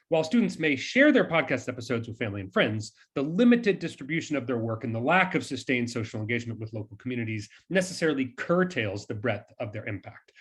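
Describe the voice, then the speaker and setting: A male academic presents research from a script. He has a medium-pitched voice with a husky edge and animated intonation. His phrasing is formal and scholarly.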